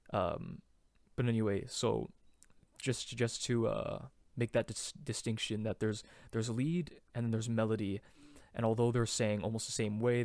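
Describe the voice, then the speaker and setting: A man's voice: on the low side, darkish tone, dry recording. A man teaching a song's vocal harmonies in a loose, chatty way, with many fillers and restarts. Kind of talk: casual harmony tutorial.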